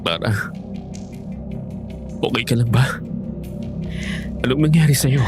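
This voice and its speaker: A man's voice: medium pitch, slow, close to an everyday voice. A man playing a loving but hard-headed young husband, earnest and dramatic, in a horror audio drama. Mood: worried, alarmed. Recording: music behind the voice.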